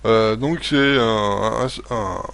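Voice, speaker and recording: A man's voice: lowish voice, slow pace, gravelly, a bit bright. A man talking casually in a vlog, rambling with many fillers and restarts, blunt and swearing now and then, chuckling often. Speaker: vlogger. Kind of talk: casual home-remedy vlog.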